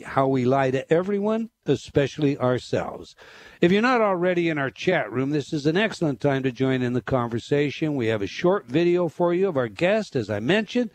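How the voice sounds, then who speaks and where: A male radio host reads plugs and introduces his guest, often hesitating between phrases. His voice sits slightly high for a man. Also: no echo. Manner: promotional, hesitant with fillers. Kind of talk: talk radio hosting.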